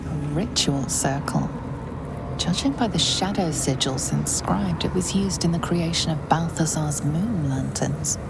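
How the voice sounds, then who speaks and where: A woman voicing a solemn, knowing narrator of a fantasy game, measured and portentous, quietly tempting. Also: deep voice, vocal fry, over loud music, rough recording.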